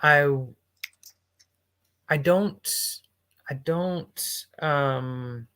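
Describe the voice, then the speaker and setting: A man's voice: a little high, drawn-out words, bright, forceful tone, slight background noise. Reflective male host thinking aloud. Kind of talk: casual conversation.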